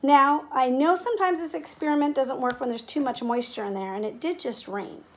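A middle-aged woman teacher speaking in simple, clear phrases to young children, as in a read-aloud or classroom demonstration. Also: high voice, fairly quick, slight background noise. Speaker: teacher making videos for pupils. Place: indoors at home, living room and kitchen. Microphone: device mic at about arm's length.

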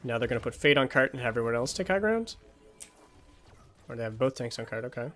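A man's voice: mid-range voice, expressive, fast talker, a bit bright, faint music behind. A young man reviewing an esports match as a coach, thinking aloud with many fillers, his voice tired after hours of streaming, on a home streaming mic. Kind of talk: casual match review commentary.

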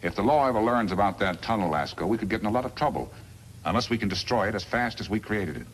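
A man's voice: fairly low pitch, expressive, quick pace, natural voice. Man playing smug, swooping crooked lawyer villain. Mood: smug scheming. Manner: smug, calculating, emphatic. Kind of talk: 1950s radio western acting. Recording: noisy vintage 1950s radio recording.

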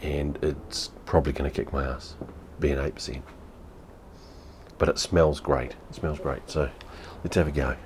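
Very deep-voiced New Zealand man. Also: fairly even intonation, a little slow, slight background noise. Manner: blunt, sweary, repeats phrases. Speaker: beer reviewer. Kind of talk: casual vlog review. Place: home room with TV behind.

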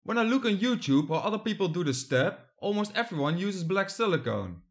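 Young man, high-pitched, from the Netherlands; plain step-by-step voice-over. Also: very animated, brisk. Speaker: hobby builder narrating his project. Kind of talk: scripted voice-over narration.

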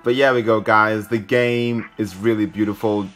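A man's voice: on the low side, steady tone, normal speed, a bit bright. A man hosting a gaming video, commentating casually and thinking aloud in an informal way. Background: game music.

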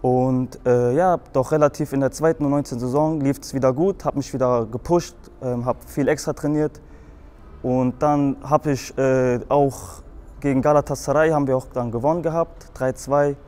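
A young man from Frankfurt with a light Hessian accent, recounting his past. He rambles, and the telling is full of hesitations and restarts. His voice sits in an ordinary middle pitch. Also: steady tone, normal speed, a little mellow, clean tone. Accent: Hessian German. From Germany, Frankfurt. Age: young adult. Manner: rambling, many fillers and restarts. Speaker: guest in a show video. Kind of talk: telling his life story.

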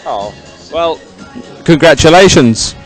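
A man's voice: a little high, expressive, slow, faint music behind. A man doing an encouraging, congratulatory on-the-spot interview at an outdoor sports event.

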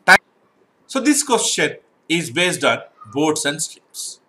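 A man's voice: a little high, big pitch swings, slow pace, sharp tone, a little hiss or hum. A middle-aged man teaching an exam-prep math lesson online, explaining step by step and often checking "right?" with his students.

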